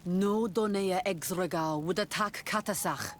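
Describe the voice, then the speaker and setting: An actress playing a proud, stern island clan leader in a video game, speaking in a solemn, deliberate way. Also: female voice, on the low side, slight background noise, close to an everyday voice. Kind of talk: video game cutscene acting.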